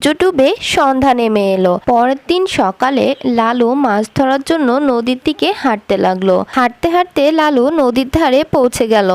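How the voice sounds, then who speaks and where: A woman's voice: high-pitched, expressive, moderate pace, hardly any pauses, darkish tone, slight background noise. A woman narrating a scripted cartoon folk story as its storyteller.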